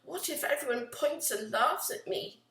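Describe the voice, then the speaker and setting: A woman's voice: high-pitched, moderate pace, fairly bright tone, voice pushed up. A woman voicing a young female storybook swan, shy and curious, with a simple, wondering manner. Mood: worried. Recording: roomy, echoey, distant from the mic.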